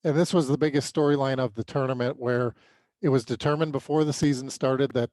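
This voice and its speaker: American male sports co-host, mid-pitched, halting off-the-cuff recap, very dry sound. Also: steady tone, a little mellow, no room sound. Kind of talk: unscripted sports recap commentary.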